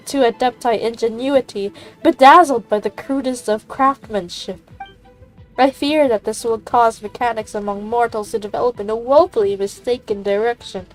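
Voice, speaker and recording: Girl putting on a snooty immortal bird lady from a game, slow grand formal talk, kinda high, music behind. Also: close to an everyday voice, low-quality recording. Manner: pompous, formal, stately declamation. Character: haughty ancient female bird immortal, proud, dismissive of mortals.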